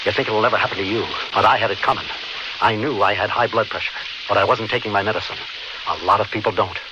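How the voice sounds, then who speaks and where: A man's voice: lowish voice, steady tone, fast, highs cut off. A man giving a plain testimonial for a radio public service announcement on a noisy recording. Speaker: heart attack survivor in public service announcement.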